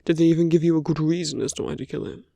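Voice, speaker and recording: A man playing a suave, persuasive villain in an ASMR roleplay, speaking in measured rhetorical questions and slipping into near-whispers. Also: fairly high pitch, level tone, gravelly, dark tone, no echo. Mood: pointed, challenging. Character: suave male villain, persuasive, teasing, self-justifying. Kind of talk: ASMR roleplay audio drama.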